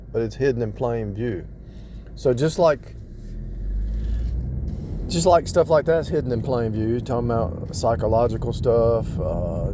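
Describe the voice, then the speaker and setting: A man's voice: on the low side, background noise. A man giving casual, off-the-cuff spiritual teaching in a rambling way, repeating and rephrasing himself for emphasis. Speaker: religious vlogger.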